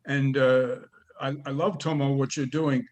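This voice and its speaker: A man's voice: mid-range voice, little pitch movement, unhurried. A man teaching an acting class, praising students and giving notes, often restarting his sentences. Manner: warm praise, stammering restarts.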